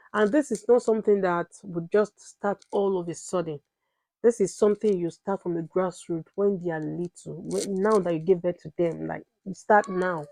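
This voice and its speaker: A woman's voice: on the low side, mellow, dry recording, poor audio. A woman in her thirties with a Nigerian accent, chatting casually to the camera as a vlogger and mother, often repeating herself and tagging on "right?". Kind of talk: casual sit-down vlog talk.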